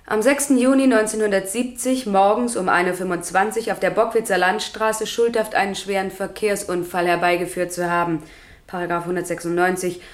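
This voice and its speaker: An actress playing a state prosecutor in a radio drama, formal, official and declamatory. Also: female voice, mid-range voice, natural voice. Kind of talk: radio courtroom drama acting. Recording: roomy, echoing courtroom sound.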